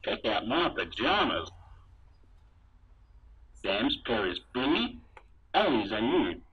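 Man playing a muffled, animated sci-fi trooper storyteller. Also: mid-range voice, slow pace, a little hiss or hum. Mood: animated storytelling. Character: helmeted male sci-fi trooper reading a storybook to children. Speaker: storybook reader. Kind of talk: read-aloud children's story, rhyming. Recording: dull, muffled radio-like sound.

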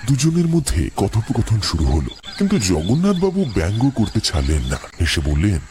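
A man's voice: lowish voice, wildly swooping pitch, moderate pace, background noise. A man reading a scripted horror story as a dramatic narrator. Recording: clear background music. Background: music.

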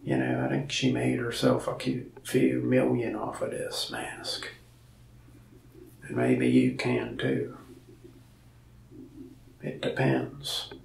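A young man playing a lonely, friendly mask shop salesman in a soft-spoken ASMR roleplay, talking slowly and often slipping into a whisper. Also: rumbling low, sing-song, echoey room, distant mic, a little hiss or hum, full character voice. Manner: slow, soft-spoken, gravelly with frequent whispered stretches. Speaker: ASMR creator. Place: small indoor room, mask wall behind.

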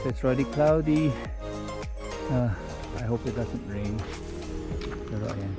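A man in his forties, originally from the Philippines, vlogs his hike to a handheld camera. The wind rushes past the mic on the open trail, and background music runs under his voice. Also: mid-range voice. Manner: chatty, mixes English with Filipino. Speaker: hiking vlogger. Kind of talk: casual vlog narration. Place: outdoors on a windy mountain trail. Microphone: handheld camera at arm's length. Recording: windy outdoor audio with music.

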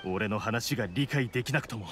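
An actor playing an embittered male oni warrior outcast in a video game, speaking with scorn and deliberate weight. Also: fairly low pitch, noisy background, natural voice. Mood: scornful. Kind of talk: dramatic game voice acting.

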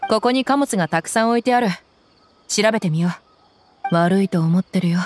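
A woman's voice: mid-range voice, moderate pace, music in the background, natural voice. An actress playing a tough, confident young woman mercenary in a Japanese anime game, with blunt, intimidating phrasing. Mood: rueful, serious.

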